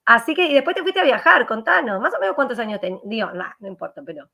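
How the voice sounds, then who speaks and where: A woman's voice: on the high side, sing-song, fast talker, creaky, pushed and bright. A woman hosting a casual interview over a video call, laughing often and asking rambling questions. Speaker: host.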